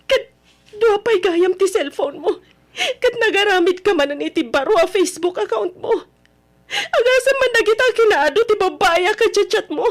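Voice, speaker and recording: A young woman acting a heartbroken, betrayed girlfriend in a radio drama, with a tearful, pleading, melodramatic delivery. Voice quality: tearful, very high voice.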